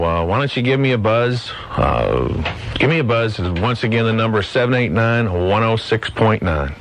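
A man's voice: on the low side, fairly bright tone, rough recording. A man hosting a live call-in radio show, chatting in a folksy, informal way. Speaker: radio host.

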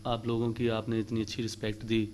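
A man appearing as a guest singer on a TV studio show, humble and soft-spoken, his throat unwell. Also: lowish voice, monotone. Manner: humble, soft-spoken, sings couplets slowly. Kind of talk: polite studio chat and folk singing. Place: TV studio set.

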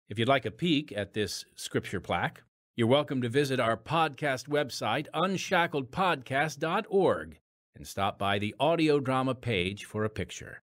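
A man's voice: medium pitch, no echo, studio quality. A man reading scripted narration and announcements as the host of an audio drama podcast.

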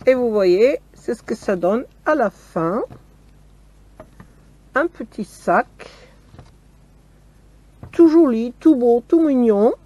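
A woman casually showing her crochet work step by step, often pausing on "voilà", talking from a camper van table with the camera on her hands. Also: fairly high pitch, animated, mellow, slightly rough, muffled recording. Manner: slow, step-by-step, fond of "voilà". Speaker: crochet vlogger. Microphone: camera filming her hands from close.

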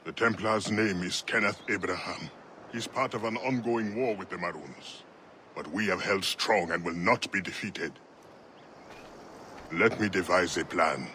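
An actor playing a resolute assassin leader in a video game, with a deep voice and a measured, solemn manner. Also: male voice, sharp tone, slight background noise. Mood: grave, resolute. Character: grave, resolute male assassin leader planning revenge. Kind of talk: video game drama acting.

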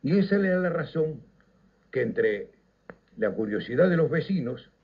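An older man with a Uruguayan accent narrates like a seasoned radio storyteller, nostalgic and declamatory. His pitch swings widely between dramatic pauses, and his voice is raspy with plenty of creak. The recording is a little lo-fi. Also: sing-song. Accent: Uruguayan Rioplatense. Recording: slightly dull, lo-fi radio recording.

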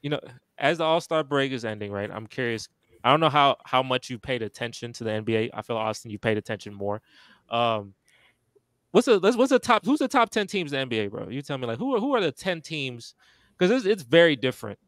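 A man's voice: mid-range voice, lively intonation, a little fast, fairly bright tone, dry recording. A man hosting a casual sports talk on a video call, hyped and slangy, teasing his guests. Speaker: podcast host. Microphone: headset mic on a video call.

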